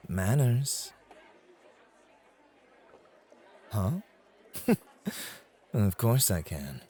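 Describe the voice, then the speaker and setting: A man playing a possessive, devoted club host in an ASMR roleplay, smooth-talking and condescending toward his rival. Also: low voice, a little mellow. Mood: smug, coaxing. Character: possessive, devoted male club host named Roy, courting a guest.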